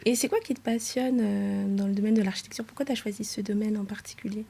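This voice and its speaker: A woman's voice: medium pitch, fairly even intonation, fast talker, gravelly, dark tone, husky. A woman hosting an interview podcast, asking short questions and often answering with "ok.". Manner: short questions, frequent "ok" backchannels. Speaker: podcast host.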